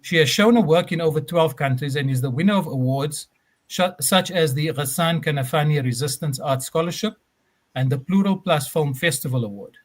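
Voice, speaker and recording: Guy hosting a livestream, fairly high voice, speechy repeated lines then loose chat with ums. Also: brisk. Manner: rhetorical, building lists with repeated phrases; fillers and uh, um in unscripted talk. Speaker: live stream host. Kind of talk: delivering a scripted opening speech, then hosting.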